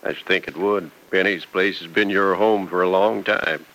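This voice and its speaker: A man's voice: low-pitched, steady tone, normal speed, sharp tone, low-quality recording. An actor playing a kindly, ailing older farmer and father-in-law in an old radio serial. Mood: warm, wry. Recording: vintage radio recording with faint noise.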